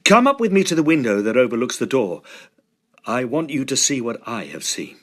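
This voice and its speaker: A man's voice: medium pitch, big pitch swings, fairly quick, a bit bright. An actor playing an intense, shaken young Victorian man in a radio drama, speaking with urgent, dramatic emphasis. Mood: frightened, shaken.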